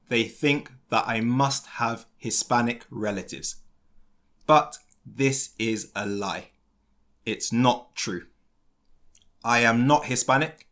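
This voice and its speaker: A man with a London British English accent leads a podcast lesson. He talks at an unhurried, very slow pace and keeps his phrasing plain and deliberate so learners can follow. His voice sits at a middle pitch for a man. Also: fairly bright tone. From London, England. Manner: clear, deliberate delivery with simple phrasing. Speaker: English teacher and podcast host.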